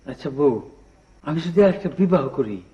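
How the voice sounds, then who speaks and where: A man's voice: fairly high pitch, lively intonation, normal speed, muffled recording, natural voice. An actor playing a good-natured, half-mad village man in a comic drama, rambling earnestly in rural Bangladeshi Bengali. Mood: playful.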